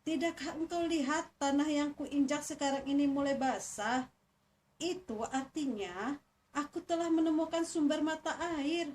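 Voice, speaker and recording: A scheming little turtle from a children's fable, as a woman storyteller puts it on. The voice is high and persuasive, full of false cheer. It sounds set back from the mic with faint noise. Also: normal speed, darkish tone, distant mic, lightly acted. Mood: excited scheming. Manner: coaxing, exclamatory, persuasive.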